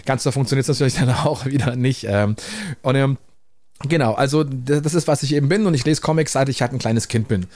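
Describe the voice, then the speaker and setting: A man's voice: medium pitch, a little fast, darkish tone. A man in his forties hosting his own podcast, talking in a chatty, rambling way with many fillers. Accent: German. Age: 40s. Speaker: podcast host. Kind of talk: casual monologue.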